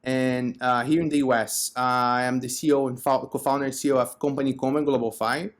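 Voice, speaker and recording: Male interview guest, Brazilian accent, medium pitch, conversational entrepreneur explaining services. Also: level tone, fairly quick. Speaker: podcast guest, company co-founder.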